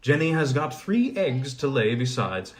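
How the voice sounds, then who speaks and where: A man voicing an old blind woman who spins wool, speaking in a brisk, instructing way. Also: medium pitch, very animated. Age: older.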